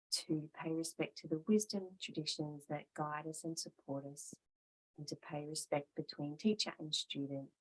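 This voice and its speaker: A woman teaching yoga and guided meditation, calm and measured, leaving pauses between short phrases. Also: low voice, husky, poor audio.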